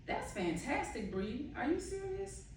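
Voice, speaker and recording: A woman's voice: sits high, big pitch swings, unhurried, slight background noise, pitched above the performer's own voice. A woman reading aloud as an upbeat, supportive young female friend in a novel, in an echoey room from a distance. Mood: encouraging. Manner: read aloud from a novel, lightly voiced.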